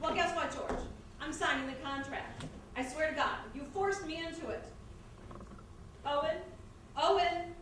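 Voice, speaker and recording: Woman playing a very high-pitched, temperamental stage diva. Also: measured pace, long pauses, fairly bright tone. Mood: indignant. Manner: dramatic, scolding. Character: temperamental, melodramatic female stage star, imperious and explosive. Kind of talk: stage reading of screwball comedy. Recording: distant echoey stage recording, clearly noisy.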